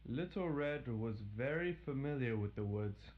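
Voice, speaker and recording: A man's voice: medium pitch, lively intonation, normal speed, highs cut off. A man reading a scripted fairy tale as the storyteller.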